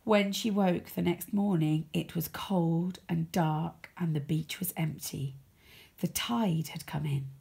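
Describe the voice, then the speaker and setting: A woman reading a children's story aloud as a storyteller, expressive, with dramatic emphasis. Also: on the low side, takes it slowly, gravelly, breathy edge.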